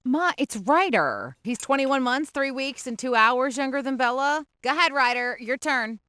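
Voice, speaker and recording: A woman's voice: high voice, animated, normal speed, bright, forceful tone. A woman playing a mother on a family video call, exasperated and laughing.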